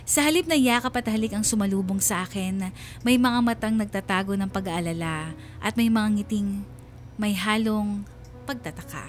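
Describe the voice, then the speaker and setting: A woman's voice: medium pitch, natural voice. An actress playing a homesick wife who narrates her story with sorrow in a radio drama. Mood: wistful, aching. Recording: faint background noise.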